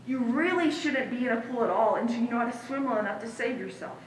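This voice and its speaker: A woman's voice: fairly high pitch, quick pace, close to an everyday voice. A woman on stage playing a blunt, unsympathetic young character delivering a complaining monologue. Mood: indignant. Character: blunt, unsympathetic young character, self-declared bad lifeguard. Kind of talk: stage monologue in a one-act play. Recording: echoey hall from far off, noise.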